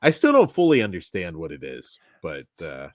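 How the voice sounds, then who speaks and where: Co-host, male, low and dry, swings his pitch all over, voice worn from recording all day. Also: moderate pace, dry recording. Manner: animated, self-deprecating, joking. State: voice going tired after long recording. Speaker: podcast co-host. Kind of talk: casual film review chat.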